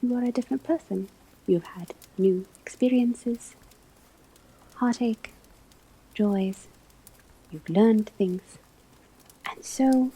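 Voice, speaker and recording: A woman performing an ASMR classroom roleplay as a calm, formal witch school teacher, speaking softly and often dropping into a whisper. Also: a little high, low-quality recording. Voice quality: soft, breathy, often whispered. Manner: measured, formal, precise phrasing. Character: calm, kindly but strict witch school divination teacher.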